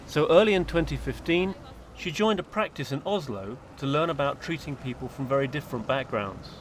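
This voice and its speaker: A man giving scripted documentary narration in a measured, even delivery. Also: fairly high pitch, slight background noise.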